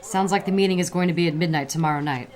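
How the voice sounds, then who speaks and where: A woman's voice: on the low side, racing, darkish tone, natural voice. An actress playing an unflappable, streetwise woman sleuth in a noir audio drama, sassy and teasing. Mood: sassy, knowing. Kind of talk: noir radio drama acting.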